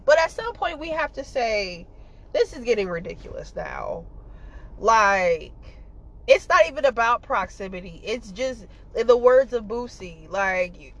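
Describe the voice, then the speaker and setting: A woman's voice: medium pitch, rough recording. A woman with a stuffy nose giving a casual, blunt commentary monologue as a podcast host, rambling and full of fillers and swearing.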